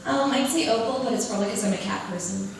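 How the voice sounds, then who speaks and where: A woman's voice: medium pitch, fast talker, a crowd in the background. A young woman who is losing her voice, answering audience questions in a giggly, chatty way full of "like" fillers. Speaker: convention panel guest. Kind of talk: casual audience Q&A. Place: large convention hall. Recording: echoey hall from far off, noisy.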